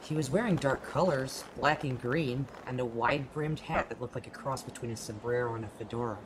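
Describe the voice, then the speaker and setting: A man's voice: mid-range voice, noisy background, close to an everyday voice. A man playing a sarcastic, bewildered young human lost in a desert, in a casual, slangy amateur audio play, with music behind him. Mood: wry narration. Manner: casual, slangy, exasperated, halting when confused. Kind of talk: amateur audio play with first-person narration. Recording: very loud music bed behind voice.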